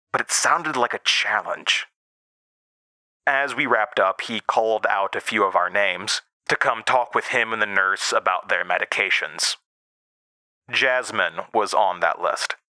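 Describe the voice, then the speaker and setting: A man narrating a scripted first-person horror audio drama as a storyteller, with dramatic pauses. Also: low voice, pushed and bright, no room sound, rough recording. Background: music, plucked guitar.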